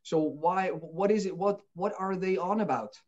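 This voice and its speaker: Man as an earnest interview guest, restarting sentences, slightly high voice, muffled recording. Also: normal speed, darkish tone. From Austria. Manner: earnest, searching for words with many restarts. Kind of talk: reflective interview talk. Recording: dull lo-fi sound.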